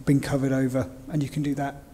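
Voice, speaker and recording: A man co-presenting, cutting in with a quick, chatty aside. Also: mid-range voice, little pitch movement, mellow. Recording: echoey lecture hall.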